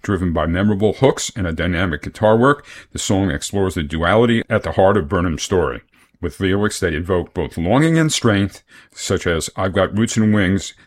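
A man hosting a radio music show, reading album notes and reviews from a script. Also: low voice.

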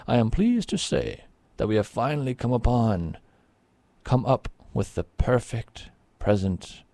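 A man's voice: medium pitch, lively intonation, measured pace, vocal fry, mellow, dry recording. A man voicing a grateful island king in a told folk tale, speaking with formal, courtly phrasing.

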